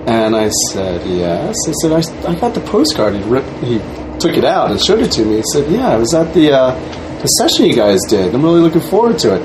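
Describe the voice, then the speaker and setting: A man's voice: mid-range voice, huge pitch swings, fairly quick. A man being interviewed, telling a casual anecdote and acting out the people he quotes. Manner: acts out quoted exchanges. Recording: noisy room with background hum.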